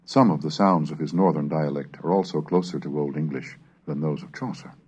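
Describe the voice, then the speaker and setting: A man reading scripted scholarly material aloud in a deliberate, declamatory manner, like a lecturer on a recorded course. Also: a bass voice, darkish tone, breathy edge. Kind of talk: scripted narration and dramatic reading of Middle English texts. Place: recorded narration on cassette. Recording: dull, lo-fi 1970s cassette recording.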